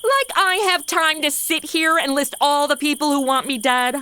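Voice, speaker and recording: A woman's voice: sits high, normal speed, pushed and bright. An actress playing a sassy, exasperated modern young woman with a blunt, snarky manner in a comedy audio drama.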